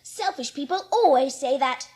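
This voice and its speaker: Woman acting a contrary little girl, very high voice, animated swings, blunt, emphatic, children's audiobook drama. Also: normal speed. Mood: indignant, defiant. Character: stiff, headstrong, contrary young orphan girl.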